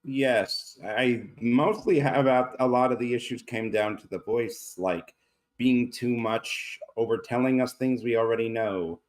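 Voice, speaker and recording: A man's voice: mid-range voice, measured pace, rough recording. A man giving casual, blunt, explanatory criticism in a group feedback discussion. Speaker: table read participant giving feedback.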